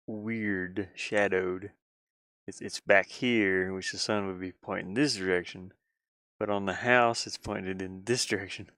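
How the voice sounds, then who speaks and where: Slow-talking man with a low, clear voice. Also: fairly bright tone, no echo. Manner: halting, thinking aloud. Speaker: streamer and hobby game designer. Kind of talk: casual rambling livestream chat.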